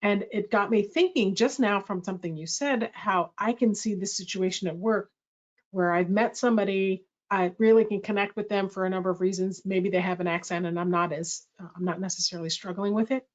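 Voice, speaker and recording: A woman's voice: medium pitch, steady tone, brisk. Reflective woman leading a workshop talk. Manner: thoughtful, reflective, self-correcting. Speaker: workshop host. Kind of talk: presentation dialogue. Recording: slightly muffled audio.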